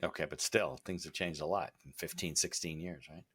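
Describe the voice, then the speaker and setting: A man's voice: extremely low pitch, a little fast, a bit bright, slightly rough. A man from the United States hosting an interview, mostly giving brief affirmations and wry jokes. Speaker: interview host. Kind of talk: casual interview conversation.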